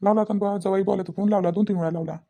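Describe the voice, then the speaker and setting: A woman's voice: mid-range voice, fairly even intonation, rapid-fire, dull audio, close to an everyday voice. A woman voicing a worried, protective middle-aged village father in a comedy cartoon, with a Varhadi Marathi accent and insistent, repetitive questioning. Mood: aggrieved.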